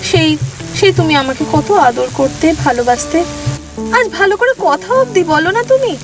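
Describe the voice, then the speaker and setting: An actress playing a young newlywed wife who speaks earnestly and pleadingly in an audio drama. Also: female voice, extremely high pitch, lively intonation, normal speed, music in the background, low-quality recording.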